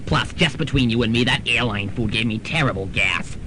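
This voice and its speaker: A performer voicing a chatty, overfamiliar middle-aged psychic aunt in a student radio drama. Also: male voice, mid-range voice, a little fast, noisy background, lightly acted. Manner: chatty, overfamiliar, then ominous.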